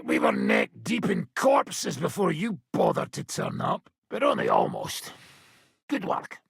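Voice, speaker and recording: An actor playing a brash, foul-mouthed medieval mercenary commander in a video game, with a rough, raspy voice and a coarse, sardonic swagger. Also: male voice, on the high side, huge pitch swings, unhurried, a bit bright, dry recording, clean studio sound, full character voice. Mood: mocking, joking. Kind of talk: video game character acting.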